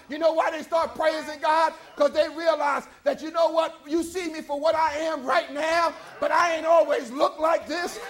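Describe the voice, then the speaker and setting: A man with a strikingly high voice delivers a sermon. He builds in rhythmic waves, often shouting his lines out to the congregation. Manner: rising into shouted, rhythmic preaching. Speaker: preacher.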